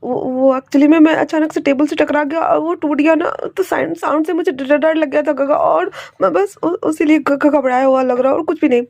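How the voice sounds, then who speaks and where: A woman putting on a scared young man who trips over his words and begs for pardon, his voice pitched high above hers. It sounds close and dry, read aloud as a story. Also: moderate pace, darkish tone, dry recording. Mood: nervous, pleading. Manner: stammering, pleading. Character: jittery young man, frightened and guilty.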